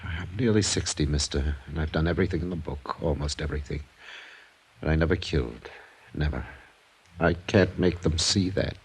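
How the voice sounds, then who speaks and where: An American ex-con drifter near sixty in a vintage radio drama. His voice is very deep and husky. He talks with a worn-out bitterness under gentle manners. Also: normal speed, exaggerated voice. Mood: bitter, pleading. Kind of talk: 1950s American radio crime drama acting.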